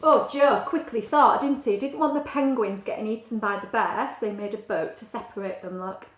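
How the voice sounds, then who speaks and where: A woman's voice: medium pitch, fairly quick, a little hiss or hum. A woman reading a picture book aloud to young children, explaining things simply and adding chatty asides. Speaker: story reader for young children. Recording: echoey, roomy sound.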